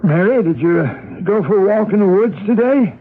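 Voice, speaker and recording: A perplexed, hesitant father with a husky, high voice that swings in pitch. It is old radio drama, muffled and narrow in sound. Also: animated, normal speed. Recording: muffled vintage radio recording.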